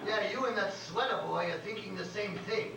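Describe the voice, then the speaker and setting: A man playing a brassy, skeptical showman with exaggerated, drawn-out delivery in a staged comedy reading. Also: high-pitched, vocal fry. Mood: scoffing. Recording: very echoey room, distant, noisy.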